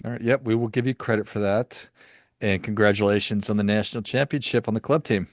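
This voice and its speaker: A man's voice: on the low side, steady tone, fast talker, no echo, clean studio sound. A man hosting a podcast, chatting casually and asking playful, teasing questions. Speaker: podcast host. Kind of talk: casual interview chat.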